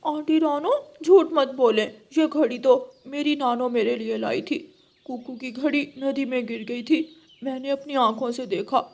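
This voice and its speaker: A woman's voice: sits high, unhurried, gravelly, darkish tone. A woman voicing a cocky, whiny, childlike young boy crow in a children's cartoon. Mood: defiant.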